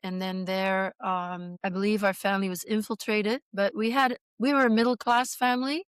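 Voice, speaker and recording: A woman in her sixties from Belgium, a guest in an interview, speaking in a measured, reflective way with soft pauses. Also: mid-range voice, flat delivery, clear, resonant tone, no room sound. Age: 60s. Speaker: guest, author and survivor. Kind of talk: conversational interview.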